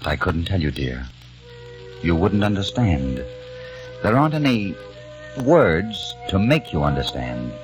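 A man's voice: deep voice, sing-song, measured pace, long pauses. An actor playing a mysterious man who seems to know the future, in an old radio drama, talking in a soothing, persuasive way. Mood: hushed. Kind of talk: 1940s radio acting. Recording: old radio recording with music bed.

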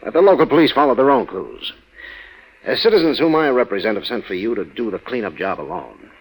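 A man acting a brisk, self-important civic chairman in a 1940s radio drama, with a husky voice and formal, emphatic delivery. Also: medium pitch, sing-song, low-quality recording. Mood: indignant, emphatic.

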